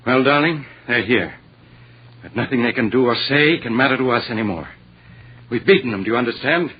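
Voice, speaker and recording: An actor playing a scheming murderer in the theatrical manner of a 1940s radio drama. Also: male voice, mid-range voice, animated, brisk, slightly rough. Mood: smug, defiant. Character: scheming male murderer, smug then desperate, dying of poison. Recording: muffled vintage 1940s radio recording.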